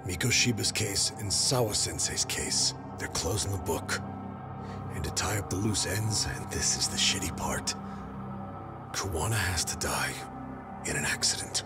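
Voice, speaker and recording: World-weary cop, low gruff voice, blunt and sardonic, game drama dub, loud music underneath. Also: male voice, measured pace. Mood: grim, resigned. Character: jaded, gruff middle-aged police detective, profane and weary.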